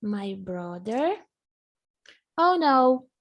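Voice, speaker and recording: A woman's voice: on the high side, sing-song, a crowd in the background. A woman whose first language is Portuguese, teaching a live online English lesson and patiently drilling each word. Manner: patient, slow drilling, repeats words for the student.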